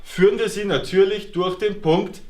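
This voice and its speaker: Male schoolteacher, high and lively voice, patient and questioning, echoey classroom sound. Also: moderate pace. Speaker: teacher. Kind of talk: explaining a geometry task to pupils.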